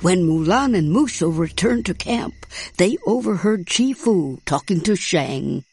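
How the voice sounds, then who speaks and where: A woman playing a fond grandmother, narrating a storybook in a measured, expressive way. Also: lowish voice, slightly rough, faint music behind, heavily acted. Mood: fond, dramatic.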